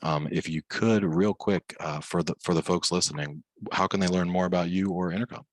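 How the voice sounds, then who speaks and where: A man's voice: sits low, steady tone, brisk, dry recording. A man hosting a podcast interview in a casual, chatty conversational style. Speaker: podcast host.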